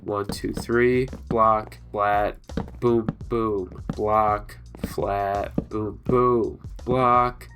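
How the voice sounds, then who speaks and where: Stuffy-nosed man giving casual build tips. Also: drawn-out words. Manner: relaxed, chatty instructions punctuated with "boom". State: stuffy nose from allergies. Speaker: gaming video creator. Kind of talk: casual building tutorial over gameplay.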